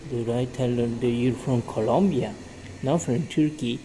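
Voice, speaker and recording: A man's voice: mid-range voice, unhurried, mellow, a little hiss or hum, poor audio. A man teaching English by reading a short story and drilling it with questions he asks and answers himself, repeating each sentence.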